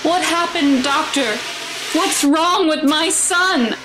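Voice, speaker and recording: A woman's voice: high voice, takes it slowly, noisy background. A woman playing a protective, distressed mother in an audio drama, defensive and anxious about her child.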